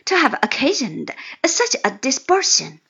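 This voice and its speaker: A woman voicing an earnest young gentleman. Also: high-pitched, big pitch swings, measured pace, creaky, dull audio, full character voice. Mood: glad.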